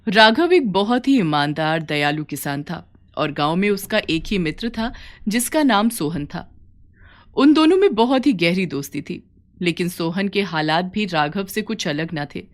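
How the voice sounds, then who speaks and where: Expressive woman narrating a story. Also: on the low side, a little slow. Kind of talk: scripted story narration in present tense.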